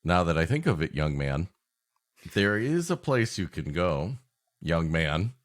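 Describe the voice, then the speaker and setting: A man with a low voice and a slow, deliberate pace co-hosts a comedy podcast. His pitch moves around a lot as he improvises wry, mock-exasperated banter. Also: very animated. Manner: mock-exasperated, wry reacting with drawn-out setups. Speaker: podcast co-host.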